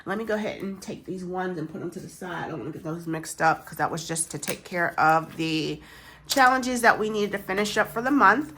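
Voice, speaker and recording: A woman's voice: fairly low pitch, fairly quick, a bit bright, a little hiss or hum. A woman, stuffy and congested from a chest cold, chatting casually to camera in a rambling, self-deprecating way while stuffing savings envelopes. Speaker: savings challenge vlogger.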